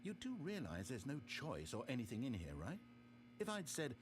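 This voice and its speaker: An actor playing a pompous, sardonic and exasperated storybook narrator in a video game, speaking articulately in scripted narration. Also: male voice, medium pitch, wildly swooping pitch, moderate pace, a crowd in the background. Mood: confused, exasperated.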